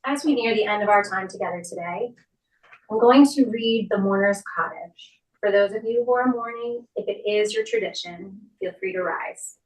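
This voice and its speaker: A woman's voice: medium pitch, normal speed. A woman officiating a memorial service in a formal speaking style. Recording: roomy echo, distant microphone.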